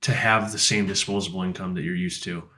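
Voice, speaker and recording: A man's voice: low voice, steady tone, a little fast, bright, forceful tone. An American man co-hosting a podcast, giving advice in a casual, emphatic way.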